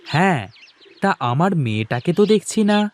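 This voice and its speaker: Man as a meek, expressive poor labourer. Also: high voice, moderate pace, a little hiss or hum. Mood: fond. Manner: pleading, hesitant with superiors. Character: meek, put-upon poor male labourer, doting father. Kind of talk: cartoon voice acting.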